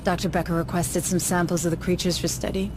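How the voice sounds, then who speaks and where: An actress playing a composed, determined deputy administrator in video game dialogue, speaking in a measured, formal, dry way. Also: female voice, fairly low pitch, little pitch movement, over music, natural voice. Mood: persistent.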